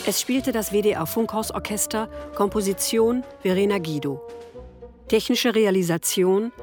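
Female announcer, medium pitch, scripted credit read over prominent orchestral music. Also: moderate pace. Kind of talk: scripted radio drama credits. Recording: orchestral brass music behind the voice.

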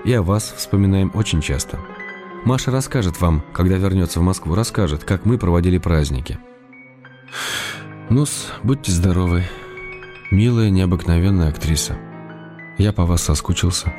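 A man playing a wry, lonely, affectionate writer, reading his letters aloud in a measured, tender way for a radio play. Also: deep voice, expressive. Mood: tender, courteous. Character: wry, lonely male writer in provincial exile, gently affectionate. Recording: radio broadcast with music behind. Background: music.

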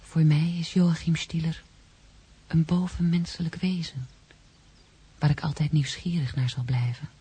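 A very breathy, mellow female voice, as a shaken but resolute young woman in a radio drama. She speaks softly and confidingly, often close to a hush. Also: deep voice, steady tone, a little slow, long pauses, full character voice. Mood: wondering. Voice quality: breathy, soft, dark. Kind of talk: literary radio drama narration.

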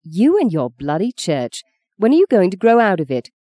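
A woman reading a story, voicing a sharp-tongued, defiant young woman who is a budding actress, in a cutting, exasperated manner. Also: fairly low pitch, big pitch swings, moderate pace, dry recording. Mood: affectionate.

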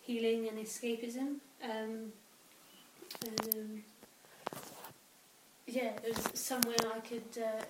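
A woman's voice: on the high side, flat delivery, slow, long pauses. A woman from Wales speaking hesitantly with many ums in a casual group chat. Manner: hesitant, full of ums and sort-ofs. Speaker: guest. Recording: echoey room, mic at a distance, faint hiss.

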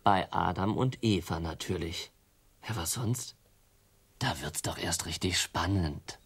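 A man's voice: sits low, measured pace, slightly rough, full character voice. An actor playing a sly, mocking male devil in a radio drama, sarcastic and needling. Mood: scornful.

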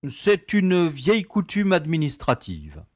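Man acting genial, slow-talking, animated prison director. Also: on the high side, no room sound, clean studio sound, exaggerated voice. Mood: courteous, paternal. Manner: courteous, formal, paternal. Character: genial, easygoing, self-important male prison director. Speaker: actor in an audio drama. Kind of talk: French radio comedy acting.

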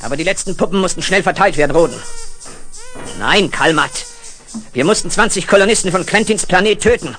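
High-pitched, coldly obedient alien-controlled henchman. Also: lively intonation, brisk, fairly bright tone. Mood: cold, reporting. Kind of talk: 1970s German radio play acting. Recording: 1970s audio drama, faint music.

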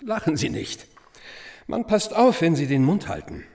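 An actor playing a cynical, gossipy schoolteacher in a radio drama, chatting with ironic, conspiratorial relish. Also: male voice, on the high side, wildly swooping pitch, a little slow, gravelly, darkish tone, heavily acted. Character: gossipy, sardonic schoolteacher, cynical confirmed bachelor. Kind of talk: conversational radio drama acting.

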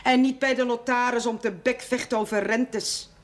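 A woman's voice: a little high, fairly even intonation, moderate pace, natural voice. An actress playing a pious, indignant parish woman, speaking in an emphatic, scolding manner. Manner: emphatic, preachy.

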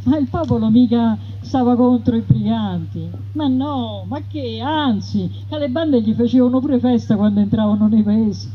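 A woman's voice: a little high, normal speed, hardly any pauses, close to an everyday voice. A woman voicing a plainspoken, bitter peasant woman bandit, telling her story in an earthy, emphatic way. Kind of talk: theatrical first-person storytelling. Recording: dull radio sound with background noise.

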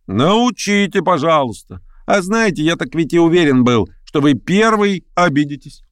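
A man voicing a domineering, impatient political agitator in an audiobook, with sharp, goading and mocking oratory. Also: mid-range voice, sing-song, normal speed, no room sound, pitched above the performer's own voice, close to an everyday voice. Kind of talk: acted audiobook dialogue.

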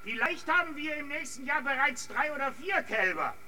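An actor in a German radio drama playing a prison keeper who calls out formal, reasoning appeals. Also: male voice, very high voice, expressive, normal speed, pushed and bright, exaggerated voice. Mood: urgent, appeasing. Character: pleading, reasoning male prison keeper negotiating with besiegers. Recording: distant from mic, background noise.

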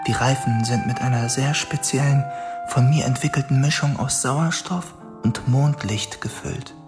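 Stolzer, grüblerischer Junge, von einem Mann gespielt, mit Musik. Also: male voice, medium pitch, natural voice. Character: proud, restless schoolboy, inventive older brother, solitary. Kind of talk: literary radio drama monologue. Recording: clear music behind the voice.

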